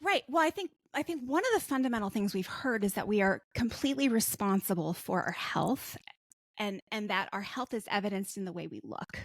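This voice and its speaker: A woman's voice: mid-range voice, animated, a little fast, no echo. A woman in her forties, a guest coach, talking in an earnest, reflective way and laughing often in a casual podcast interview. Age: 40s. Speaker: guest, body image coach.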